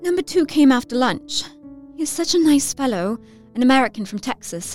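An actress playing an excitable, romantic Victorian girl of nineteen, reading her letter effusively in an audio drama. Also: a little high, lightly acted. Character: excitable, romantic nineteen-year-old Victorian young lady.

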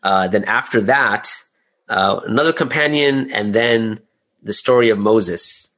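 Male interview guest, lower-pitched voice, conversational and chatty delivery, peppered with small check-in tags. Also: a little slow, a bit bright. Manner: chatty, often adds "right?" and "you know". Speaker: interview guest, audio drama creator.

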